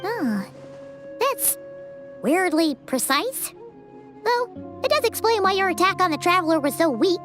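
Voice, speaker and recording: A woman voicing a small, childlike fairy companion in an anime-style video game, chirpy, blunt and excitable, with a squeaky voice. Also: unhurried, long pauses, music in the background, exaggerated voice. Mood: skeptical. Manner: refers to self in third person, exclamatory.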